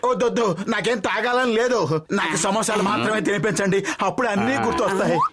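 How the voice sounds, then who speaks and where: A man voicing a gluttonous, playful cartoon character with exaggerated, drawn-out exclamations. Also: high voice, crowd noise, natural voice. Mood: playful, feigned innocence. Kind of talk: animated cartoon voice acting. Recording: loud music behind.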